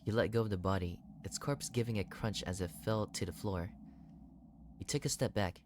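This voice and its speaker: Expressive man doing a game let's play. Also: lowish voice, moderate pace, noisy background. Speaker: gaming video host. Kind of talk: game let's play, reading visual novel narration aloud with reactions.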